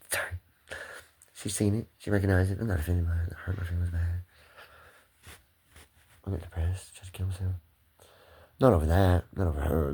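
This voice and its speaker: A man's voice: low voice, warm tone, slightly rough. A man talking to the camera in a rambling, swearing monologue that jumps between topics.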